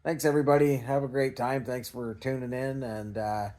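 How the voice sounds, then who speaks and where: A man's voice: medium pitch, little pitch movement, moderate pace, darkish tone. A Canadian man talking in a casual, unscripted vlog style, rambling and hesitant in a self-effacing way. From Canada, small-town Ontario. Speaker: scrap recycling vlogger.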